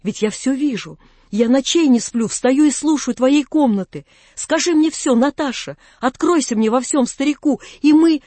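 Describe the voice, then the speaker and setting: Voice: mid-range voice, moderate pace, warm tone, no room sound, close to an everyday voice. A narrator voicing a tender, anguished old father in an audiobook, imploring and fervent. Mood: anguished pleading.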